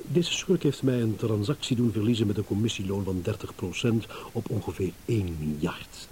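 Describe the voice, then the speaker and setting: Low, mellow, sly businessman. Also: poor audio. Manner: hesitant pauses, teasing, drawn-out insinuating delivery. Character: shrewd, amused businessman, a blackmail victim turning schemer. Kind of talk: radio drama acting. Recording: hissy old radio play recording.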